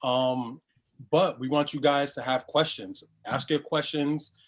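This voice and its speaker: A man opens an online session as host, speaking casually with plenty of ums. His voice stays mid-pitched and easygoing. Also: a little slow. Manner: relaxed, upbeat, many fillers. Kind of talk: casual welcome and introductions.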